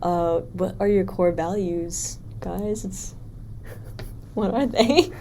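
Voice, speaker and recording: Easygoing young woman, low mellow voice. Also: fairly even intonation, slow pace, slight background noise. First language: Vietnamese. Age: young adult. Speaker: podcast co-host. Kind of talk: casual chat.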